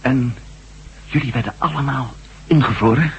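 An actor playing a dogged, inquisitive ex-astronaut reporter in a Dutch radio drama. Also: male voice, medium pitch, moderate pace, lightly acted. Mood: probing. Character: nosy, dogged male ex-astronaut reporter working undercover. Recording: noisy old radio recording.

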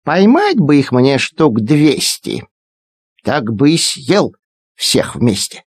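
A man's voice: on the high side, sing-song, slow, slightly rough, dry recording, clean studio sound, voice pushed down, exaggerated voice. A man voicing a huge, greedy, self-satisfied storybook tomcat in a gloating manner.

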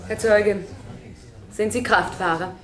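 An actress playing a sceptical, formal state prosecutor with a cutting manner in a German radio courtroom drama. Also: female voice, medium pitch, steady tone, slow pace, lightly acted. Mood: pointed. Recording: roomy, echoing courtroom sound.

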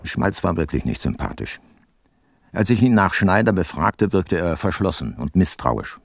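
A man's voice: low-pitched, big pitch swings, normal speed, vocal fry, exaggerated voice. A man acting as an older detective who narrates his own case in a dry, ironic way in a radio play. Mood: wry, rueful. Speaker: first-person narrator.

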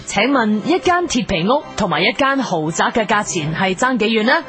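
A woman playing a formal, brisk and absurdly biased school quiz host in a comedy radio sketch, with a Hong Kong Cantonese accent. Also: medium pitch, big pitch swings, lightly acted. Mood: brisk, deadpan. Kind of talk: comedy radio sketch as game show hosting. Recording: music behind the voice.

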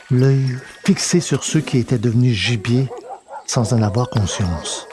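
A man narrating a scripted dramatic story in a measured, literary delivery. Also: mid-range voice. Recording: noticeable background noise.

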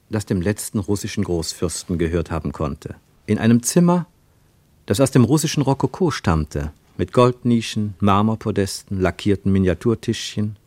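A man's voice: lowish voice, no echo. Man narrating literary radio drama, dry studio sound.

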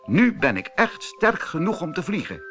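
A man voicing a grateful, gentle male swallow in a fairy tale, speaking earnestly. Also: on the high side, wildly swooping pitch, moderate pace, faint music behind, voice pushed up. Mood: grateful, eager.

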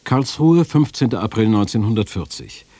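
Low dark male voice, bit husky, reading official stuff formally, measured. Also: expressive, no echo. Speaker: quotation reader in a radio feature. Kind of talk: formal reading of documents and quotations.

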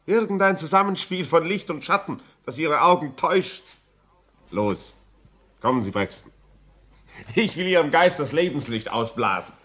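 A man's voice: a little high, animated, moderate pace, fairly bright tone. An actor playing a rational, skeptical man who admonishes firmly and emphatically, in a 1950s German radio drama. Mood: firm persuasion. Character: rational, brisk skeptical visitor, firmly urging calm. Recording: early 1950s radio play recording.

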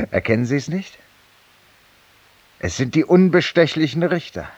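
An actor playing a feverish, weary, self-styled judge-penitent in a 1950s radio drama, confiding ironically and theatrically in a silent listener. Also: male voice, a little high, expressive, slow pace, darkish tone, breathy edge, no room sound, full character voice. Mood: sly, proud. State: feverish and tired, with coughs.

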